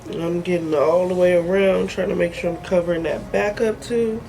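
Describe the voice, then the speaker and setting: A woman's voice: lowish voice, steady tone, moderate pace, vocal fry, mellow, faint music behind, rough recording. A woman giving a casual hair tutorial, chatty and joking, sniffly with a head cold. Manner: chatty, joking, talks to herself. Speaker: hair vlogger.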